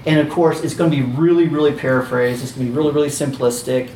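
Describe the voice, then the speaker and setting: A man's voice: fairly even intonation, quick pace, darkish tone, a little hiss or hum. A man teaching a casual class, talking in a chatty way with lots of fillers and restarts. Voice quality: squeaky. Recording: roomy, distant, faint ambience.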